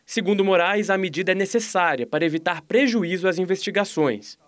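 A man with a Brazilian Portuguese accent, delivering a field news report in a measured, formal manner, recorded outdoors at night on a handheld microphone. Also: sits high, a bit bright, no room sound.

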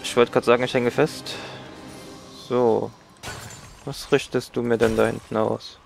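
A man's voice: medium pitch, level tone, measured pace, creaky, soft background music. A man with a cold giving casual, chatty commentary while playing a game. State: has a cold. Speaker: let's play gamer.

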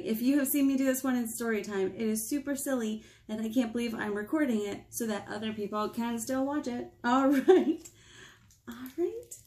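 American lady doing kids storytime, playful, mellow voice with some creak, lots of questions to the kids. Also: a little high. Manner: playful, expressive, asks the children questions. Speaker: children's storytime librarian. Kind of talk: interactive picture-book storytime for preschoolers. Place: small indoor room with whiteboard.

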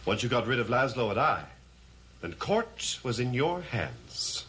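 An actor playing an intense, accusing heir of a cursed family in a theatrical gothic television soap. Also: male voice, mid-range voice, big pitch swings, unhurried, a bit bright, slight background noise. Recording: old TV soundtrack, dramatic music underneath.

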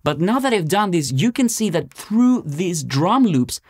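A man in his thirties presenting an instructional tutorial step by step, with deliberate, emphatic explanations. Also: sits high, sing-song, a little mellow. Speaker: music production tutorial presenter. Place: home music studio, indoors.

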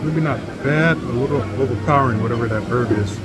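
A middle-aged man giving a casual food review, speaking haltingly and trailing off as he tastes. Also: medium pitch, very noisy. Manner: halting, trailing off while tasting. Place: indoor restaurant. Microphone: phone held close to face. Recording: loud music and restaurant crowd behind.

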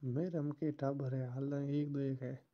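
The voice is a caller's, medium in pitch and flat in melody. The talk is casual and private, as on a phone call. The recording is dull and lo-fi. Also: unhurried.

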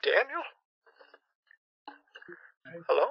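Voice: medium pitch, very animated, drawn-out words, gravelly, muffled recording, a little hiss or hum, heavily acted. A performer voicing a bewildered retired older husband on a call, stammering and pleading.

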